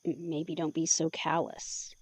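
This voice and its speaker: A woman acting in an intimate audio drama as a skeptical, gently teasing listener, with a dry, questioning conversational manner. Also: deep voice, flat delivery, a little slow, husky. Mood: reproachful. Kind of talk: audio drama dialogue in a conversational ASMR delivery.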